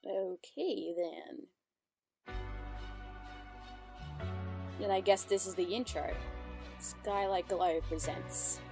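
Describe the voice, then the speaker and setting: A woman's voice: medium pitch, fairly even intonation, very slow, long pauses, mellow. Chatty woman reacting over music. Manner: chatty, amused. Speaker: reaction commentator. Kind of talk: casual reaction commentary.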